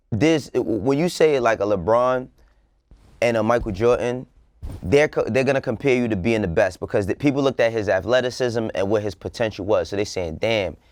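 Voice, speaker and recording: A man's voice: fairly low pitch, dry recording, clean studio sound. A man with an American accent chatting casually and emphatically as a talk show co-host, full of slang and swearing. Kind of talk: casual opinionated panel chat.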